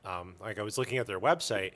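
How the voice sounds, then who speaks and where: A man telling stories informally as an interview guest, animated and a little self-deprecating. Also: on the low side, quick pace. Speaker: interview guest, comic store owner. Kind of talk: casual storytelling interview.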